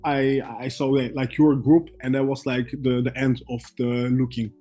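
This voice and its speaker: A young man from Belgium, a guest trader, telling his story in halting English full of fillers and restarts. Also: medium pitch, level tone, mellow. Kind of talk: casual interview chat.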